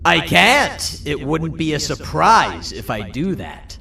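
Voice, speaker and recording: A melodramatic, vengeful trickster for a video game. The voice is high, bright and gravelly, with slow theatrical swoops. It sounds echoey and set back from the mic. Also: male voice, wildly swooping pitch, recorded from a distance, exaggerated voice. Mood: sly. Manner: theatrical, drawn-out storytelling. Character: melodramatic, scheming, vengeful trickster putting on a stage play. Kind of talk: video game character acting.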